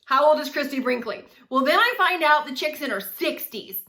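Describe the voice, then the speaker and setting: A woman of about forty chats straight to her viewers in a lively, animated way, often breaking into laughter. Her voice sits high and sounds bright and forward. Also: normal speed. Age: 40s. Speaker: vlogger. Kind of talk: casual talk to camera.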